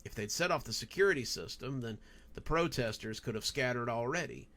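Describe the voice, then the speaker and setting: A man's voice: medium pitch, animated, a little fast, pushed and bright. Male narrator, mid-range voice, reading first-person horror fiction like an audiobook.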